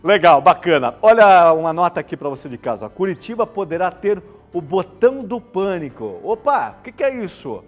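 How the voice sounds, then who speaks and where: A man hosts a live variety show. His voice sits a little high, and his delivery is informal and colloquial. Light music plays in the background. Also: very animated, normal speed, few pauses. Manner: chatty, colloquial, checks in with listeners. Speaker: television show host.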